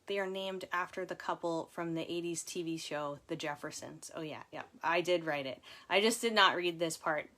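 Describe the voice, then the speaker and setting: A woman's voice: on the low side, normal speed, few pauses, bright, forceful tone. A woman author reading and chatting casually to viewers, with informal, chatty asides. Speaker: author reading from her book. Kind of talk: casual livestream book reading and chat.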